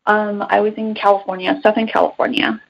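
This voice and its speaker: A woman's voice: medium pitch, level tone, brisk, gravelly. Hesitant young woman with a dull lo-fi sound. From Taiwan. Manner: soft, hesitant, many ums. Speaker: guest, resident physician. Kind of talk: interview answers.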